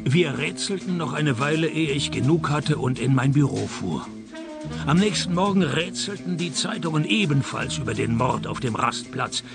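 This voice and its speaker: An actor playing a dry, sardonic private detective in a radio play, with a deadpan, wry delivery. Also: male voice, fairly high pitch, moderate pace, creaky, music in the background. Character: dry, sardonic male private detective narrating his cases. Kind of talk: radio play narration and dialogue.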